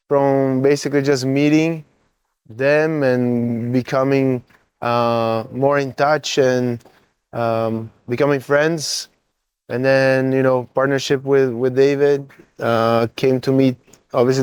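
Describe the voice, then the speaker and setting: A Brazilian-accented man talks through his work in an earnest, conversational way. His voice sits at an ordinary pitch and he often checks in with "right?". The sound is close and dry. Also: darkish tone, clear, resonant tone, no room sound. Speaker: coffee importer co-founder. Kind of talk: casual interview answers.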